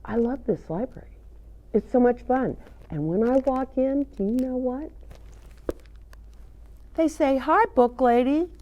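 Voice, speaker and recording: A woman hosting a children's story time, reading aloud and chatting in an animated, sing-song way, often putting questions to her young listeners. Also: high voice, measured pace, long pauses, dull audio. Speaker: children's storyteller and show host.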